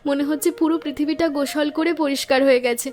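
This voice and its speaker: A woman's voice: high-pitched, fairly even intonation, quick pace, darkish tone, close to an everyday voice. A woman playing an affectionate, eager young niece in a cartoon story, speaking in an earnest, sentimental way. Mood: delighted. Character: affectionate, eager young niece visiting her village aunt. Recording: music under the voice.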